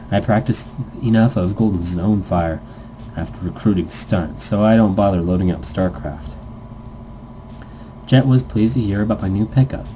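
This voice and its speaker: A man's voice: sits low, mellow, a little hiss or hum, rough recording. A man casually reading a visual novel script aloud as narration, stumbling now and then and correcting himself. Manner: stumbles over words, corrects himself, jokey asides.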